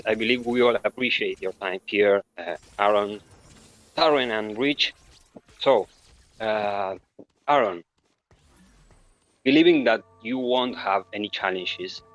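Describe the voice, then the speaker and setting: A man's voice: mid-range voice, a bit bright, poor audio. Friendly Argentine man asking a question. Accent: Argentine Spanish. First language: Spanish. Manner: friendly and courteous, with light laughs and restarts. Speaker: audience member asking a question. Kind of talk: polite question at a live online town hall.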